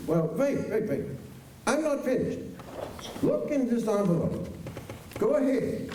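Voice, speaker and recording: A man playing an anxious, earnest farmer in a radio drama, halting and pleading, with old-fashioned immigrant phrasing. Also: extremely high pitch, very animated, warm tone, exaggerated voice. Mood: eager. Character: anxious, earnest farmer courting his former housekeeper. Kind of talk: radio drama acting before a live audience. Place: large school auditorium stage. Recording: echoey auditorium, distant mic, faint noise.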